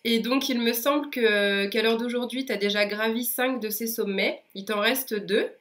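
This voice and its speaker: A woman's voice: fairly high pitch, moderate pace, fairly bright tone. A French woman hosting a casual interview with friendly, upbeat questions while she has a cold. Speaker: interviewer, host.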